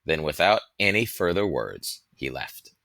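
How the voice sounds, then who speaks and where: A man reading a story aloud as expressive scripted narration, with a dramatic, emphatic manner. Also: low-pitched, a little slow, creaky, no room sound, clean studio sound. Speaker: audiobook reader.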